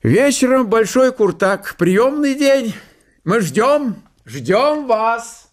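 A man's voice: very high voice, very animated, slow, exaggerated voice. A man playing a gracious but impatient tsar in a radio play, affable and effusive. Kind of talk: theatrical radio play dialogue.

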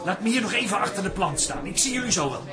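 An actor playing a nervous, bashful artist in a radio comedy drama, anxious and protesting. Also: male voice, sits high, expressive, fast, over a noisy crowd. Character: nervous, bashful artist dreading his big moment.

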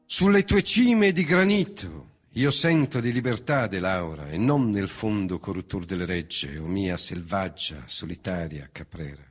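A man's voice: low voice, animated, unhurried, slightly rough. A man giving a solemn, declamatory dramatic recitation of poetry. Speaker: reciting performer. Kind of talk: dramatic recitation of autobiographical verse.

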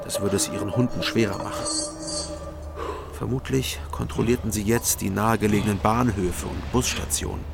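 A dry, low-voiced detective narrator. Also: male voice, steady tone, normal speed, gravelly. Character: dry male ex-detective narrating his own story. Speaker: first-person narrator and detective. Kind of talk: scripted radio drama narration. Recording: radio play over loud music score.

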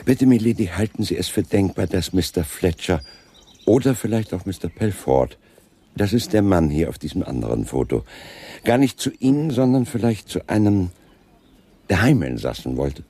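An actor playing a polite, persistent Scotland Yard chief inspector in a German radio crime drama, formal and courteous. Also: male voice, low-pitched, big pitch swings, moderate pace, mellow, slightly rough, full character voice. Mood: probing, courteous.

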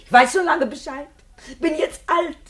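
A woman's voice: high-pitched, normal speed, fairly bright tone. An actress playing a stubborn, embittered aging woman in a radio drama, accusing and defiant. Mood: defiant bitterness.

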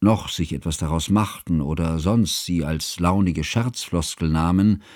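Low, mellow earnest scholarly narrator. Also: male voice, a little slow, no room sound, clean studio sound. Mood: earnest, measured. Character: earnest, fussy, devoted scholarly friend recounting events. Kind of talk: literary radio drama narration.

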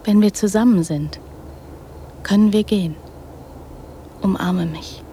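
A woman acting in a radio drama as a naive, trusting, affectionate girl who speaks in halting, simple childlike phrases. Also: medium pitch, warm tone, slight background noise, natural voice. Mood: earnest, tender.

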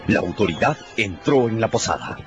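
A man's voice: lowish voice, expressive, normal speed, lo-fi sound, music in the background. A man delivering dramatic scripted narration for a radio story.

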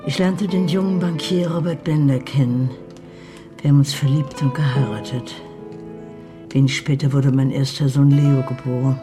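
A woman's voice: a bass voice, steady tone, moderate pace. A woman playing a bitter, grieving mother in a German Western radio play, recounting her past in a grave, emotional way. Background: music.

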